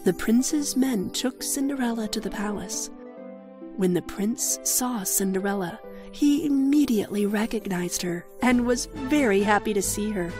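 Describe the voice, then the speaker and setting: A woman's voice: mid-range voice, expressive, a little slow, over music. A woman with an American accent narrating a scripted fairy tale for children. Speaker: storyteller.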